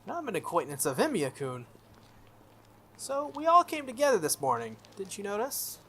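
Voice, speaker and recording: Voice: medium pitch, wildly swooping pitch, normal speed, pushed and bright, slight background noise, pitched above the performer's own voice. Someone doing a poised, teasing teenage schoolgirl.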